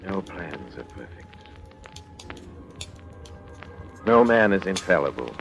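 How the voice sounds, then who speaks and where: A low-pitched, cold and controlling doctor from a vintage radio thriller. He speaks in formal, deliberate, theatrical phrases, every inch the murderous man of science, with dramatic music underneath. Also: very animated, dull audio. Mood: grim confession. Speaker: radio drama actor and narrator. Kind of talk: old-time radio suspense acting.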